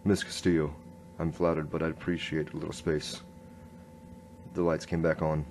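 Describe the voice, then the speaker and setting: Man acting a very deep-voiced noir inspector. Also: little pitch movement, darkish tone, slight background noise. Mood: halting, awkward. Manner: hard-boiled first-person storytelling, halting. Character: male noir police inspector, earnest, halting, narrating his case. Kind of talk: noir radio drama acting and narration.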